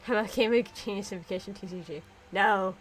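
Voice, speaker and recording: Brief: woman streamer, mid-pitched, giggly, chatty commentary, faint background noise. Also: fast, poor audio. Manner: chatty, giggly, gushing over characters. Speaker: streamer playing a game. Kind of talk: casual live-stream commentary.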